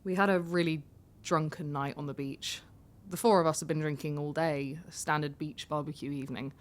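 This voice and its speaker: An actress playing a candid, vulnerable young woman taxi passenger in an audio drama, confiding in a halting, self-deprecating way. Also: low voice, steady tone, close to an everyday voice. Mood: hesitant, candid.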